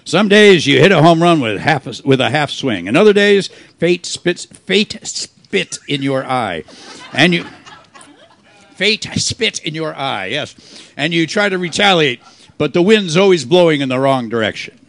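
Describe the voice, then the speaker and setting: A man playing a wisecracking, deadpan private detective in an old-time radio style play. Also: on the high side, measured pace, creaky, exaggerated voice. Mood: wry. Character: wisecracking hardboiled male private detective narrating his case.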